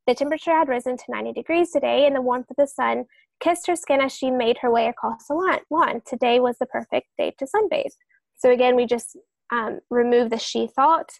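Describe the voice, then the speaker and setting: A woman with a fairly high voice talks on a podcast panel. She explains things earnestly, as an author giving advice. The sound is dry, with little room around it. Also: creaky, no room sound, rough recording. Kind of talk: podcast discussion, explaining writing tips.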